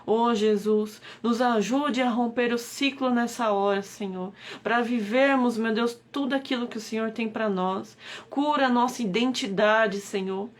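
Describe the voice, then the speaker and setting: A woman's voice: on the high side, a little slow. A woman with a Brazilian Portuguese accent leading a devotional prayer on a live stream, pleading and repeatedly calling on God. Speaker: prayer leader.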